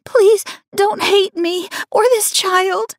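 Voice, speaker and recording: A woman's voice: steady tone, slow, no room sound, studio quality, heavily acted. A whimpering, tearful young woman, voiced by a female narrator. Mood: tearful pleading. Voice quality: high, whimpering. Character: tearful pleading young woman, meek and apologetic.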